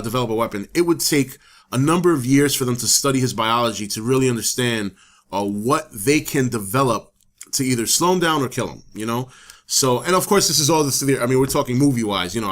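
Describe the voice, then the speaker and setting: A man hosting his own channel, talking casually to camera, a little hoarse from the flu, rambling with many fillers and restarts. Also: medium pitch, quick pace, a bit bright. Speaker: channel host. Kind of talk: casual opinion talk to camera.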